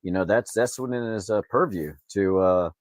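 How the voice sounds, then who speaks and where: A low-voiced man riffs through a casual chat as co-host. His manner is joking and mock-grand, and the sound is dry. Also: moderate pace, dry recording. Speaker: show co-host.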